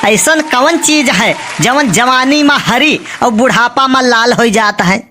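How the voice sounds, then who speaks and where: High, sharp, skeptical Awadhi cartoon villager played by a woman. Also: a little slow, some chatter behind. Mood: challenging. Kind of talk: comic cartoon dialogue in village dialect.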